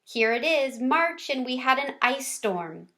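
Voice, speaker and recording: A woman's voice: high-pitched, big pitch swings, unhurried, pushed and bright, exaggerated voice. A woman voicing an adventurous, earnest little male hamster in a children's storybook, with an emphatic manner. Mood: friendly, explaining. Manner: emphatic, repeats words for effect. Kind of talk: children's chapter book narration in character.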